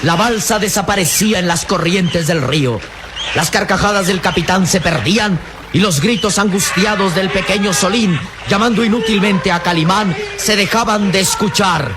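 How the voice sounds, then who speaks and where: A man's voice: sits high, normal speed, background noise, lightly acted. A man with a Latin American Spanish accent narrates an old radio adventure drama in a solemn, suspenseful manner. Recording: radio drama under loud music.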